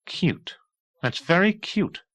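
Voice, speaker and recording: Man playing a pompous, sarcastic boss, pitched up. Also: expressive, slow pace, a bit bright, no room sound, exaggerated voice. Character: blustering, sarcastic, self-important male mission boss, defensive.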